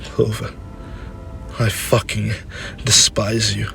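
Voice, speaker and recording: A man's voice: mid-range voice, moderate pace, fairly bright tone, soft background music, close to an everyday voice. A man voicing an anguished, scolding mother of a grown warrior in an emotional, scornful manner for a dramatic story. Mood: hurt.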